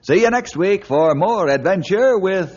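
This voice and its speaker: A man's voice: high-pitched, expressive, unhurried, darkish tone. An actor playing a hearty male ranger leader who also hosts a 1950s radio adventure show. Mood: friendly, upbeat. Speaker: lead character and host. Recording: dull lo-fi 1950s radio recording.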